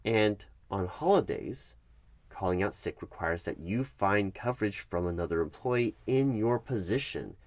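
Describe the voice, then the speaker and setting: Low-voiced man as officious HR manager. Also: animated, no room sound. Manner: measured, explanatory, officious, halting emphasis. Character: corporate HR manager, firm, bureaucratic man. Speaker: roleplay performer as HR recruiting manager. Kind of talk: one-sided ASMR office roleplay, conversational.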